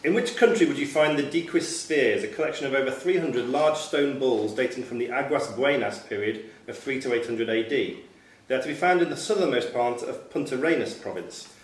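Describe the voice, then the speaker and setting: A man's voice: mid-range voice, animated, a little fast. Distant, echoey man reading out quiz questions. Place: club room at a pub-style quiz event. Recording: echoey room, distant mic, faint noise.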